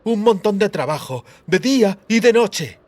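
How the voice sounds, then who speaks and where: Man playing a stern, brusque high priestess. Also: expressive, moderate pace, a little mellow. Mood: firm, lecturing. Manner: brusque, mocking, authoritative.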